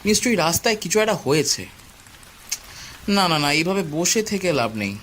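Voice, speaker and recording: Cartoon villager, played by a man: young, anxious talker, high pitch, very lively delivery, repeats himself. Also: moderate pace, a little hiss or hum. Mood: worried. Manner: exclamatory, folksy, repeats himself. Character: anxious, chatty young village man, teasing older cousin. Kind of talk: cartoon voice acting.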